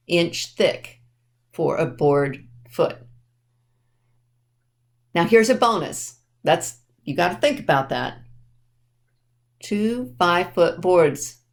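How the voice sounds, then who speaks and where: Slow-paced woman teaching children. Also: on the low side, vocal fry. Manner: instructional, checks understanding with questions; deliberate, spells things out and repeats. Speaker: library storytime presenter. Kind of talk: simple educational talk to children with questions.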